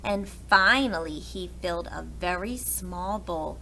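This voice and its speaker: A woman reading a storybook aloud to young children in an expressive way, pausing to explain things to them. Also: lowish voice, gravelly, slight background noise, low-quality recording. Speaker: preschool read-aloud teacher.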